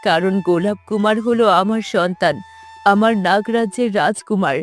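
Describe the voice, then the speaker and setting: A woman's voice: medium pitch, normal speed, faint music behind, natural voice. A woman voicing a sorrowful, protective mother serpent queen in a fairy-tale cartoon, explaining things solemnly. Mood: solemn, confessing.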